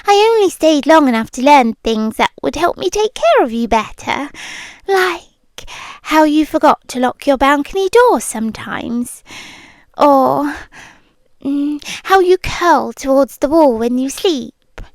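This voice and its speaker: A British woman playing a possessive, lovesick stalker girlfriend in an intimate ASMR roleplay, breathy and often whispering, with lingering pauses. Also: high voice, expressive, no room sound. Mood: coy, obsessive. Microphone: close microphone, dry.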